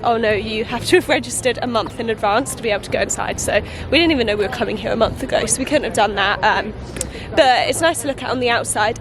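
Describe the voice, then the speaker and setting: Woman travel vlogger, stuffy-nosed from a cold, casual and chatty, slightly high voice, recorded outdoors with street noise. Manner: chatty, with filler words and laughs. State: congested from a cold. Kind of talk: casual travel vlog chat.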